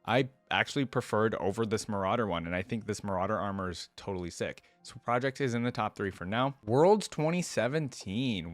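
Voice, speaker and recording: A man's voice: on the low side, no echo. A man hosting a reaction video, commenting casually and informally as he muses and thinks aloud.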